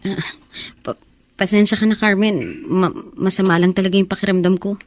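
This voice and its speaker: A woman's voice: medium pitch, steady tone, normal speed, no echo, lightly acted. A woman playing a wounded, guarded young woman who harbors anger, acted in a melodramatic, hesitant radio drama manner. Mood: evasive, uneasy. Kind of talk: Filipino radio drama acting.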